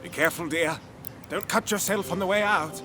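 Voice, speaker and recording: A man voicing a fussy, theatrical gentleman bug with a pompous, florid way of declaiming in an audio drama. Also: high voice, brisk, over music, natural voice. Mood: solemn, gentle. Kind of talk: audio drama cartoon acting.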